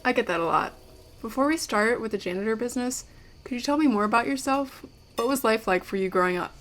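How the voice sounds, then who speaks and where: An actress playing a young, curious documentary maker who is polite, wry and playful, in an audio drama. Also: female voice, fairly high pitch, quick pace, fairly bright tone, natural voice. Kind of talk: audio drama acting with narration.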